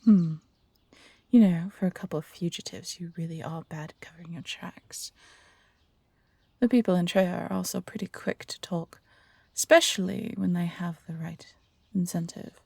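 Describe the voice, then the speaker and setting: A woman voicing a smug, menacing bounty hunter in an audio roleplay, taunting and condescending in an unhurried way. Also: fairly low pitch, steady tone, gravelly, mellow, breathy edge, no echo. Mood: mocking.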